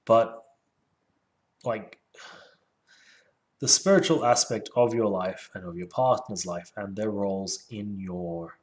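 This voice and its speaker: A man's voice: lowish voice, slow, pauses often, a little mellow. A man reading a book aloud and critiquing it casually, with sardonic, rambling asides. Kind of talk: casual solo commentary with read-aloud passages.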